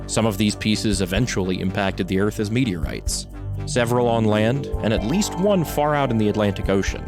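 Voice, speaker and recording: A man's voice: lowish voice, lively intonation, a little fast. A man reading scripted, documentary-style narration as the narrator of an audio piece. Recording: music bed under narration.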